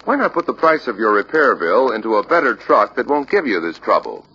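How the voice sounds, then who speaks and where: An actor playing a courteous truck salesman in a scripted training film, making a polite, reasoned pitch. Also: very animated, a little fast, bright, forceful tone, husky, exaggerated voice. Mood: persuasive. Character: persuasive, courteous truck salesman, adult man. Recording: dull lo-fi old training film soundtrack.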